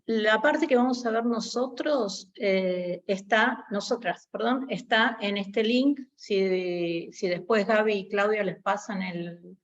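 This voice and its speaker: Slow, halting Argentine woman lecturing. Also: mid-range voice, dull audio. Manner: hesitant, many fillers. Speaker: guest lecturer. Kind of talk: online theology class.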